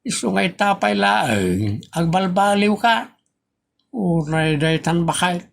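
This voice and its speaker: An exasperated family father acted by a man in a comedy radio play, with a northern Philippine accent. His fairly high voice is full of gravelly creak, and he scolds slowly and with emphasis. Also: lively intonation, full character voice. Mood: admonishing. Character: exasperated, admonishing husband and father. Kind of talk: Ilocano comedy radio drama acting.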